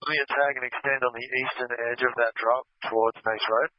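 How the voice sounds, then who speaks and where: A man's voice: medium pitch, fairly even intonation, fairly quick, a little hiss or hum. A man with an Australian accent giving casual directions over two-way radio as an aerial firefighting coordinator. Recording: muffled dry aviation-style radio.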